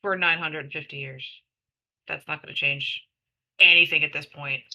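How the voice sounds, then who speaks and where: A woman's voice: sits low, steady tone, normal speed, sharp tone, highs cut off. A woman playing a tabletop game, switching between casual table chat and roleplay in a blunt, exasperated, sweary way.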